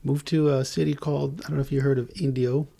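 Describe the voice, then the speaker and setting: A man's voice: medium pitch, level tone, fast talker, gravelly, dark tone. A man in his forties from Israel, a native Hebrew speaker, casually telling personal stories on a podcast. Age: 40s. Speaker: podcast guest and co-host.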